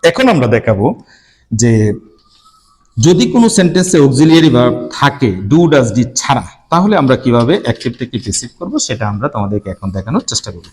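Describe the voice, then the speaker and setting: A man teaching an English grammar lesson, explaining rules step by step to his students and pausing as he writes examples. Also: medium pitch, big pitch swings, a little mellow.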